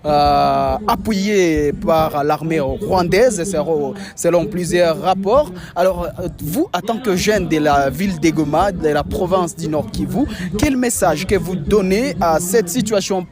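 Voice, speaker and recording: A man hosts an interview in a fairly high voice, halting often on fillers as he builds his questions. Music plays clearly underneath his voice. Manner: hesitant, many filler pauses. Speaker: interviewer and channel host. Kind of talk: on-location interview.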